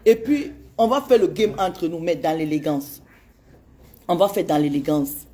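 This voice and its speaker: A woman in her forties talking to her followers with a warm, mellow tone. She speaks with heated urgency and pleads with them, hammering the same phrases again and again. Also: low-pitched, measured pace. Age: 40s. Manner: impassioned and pleading, repeats phrases. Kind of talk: direct address to followers on social media.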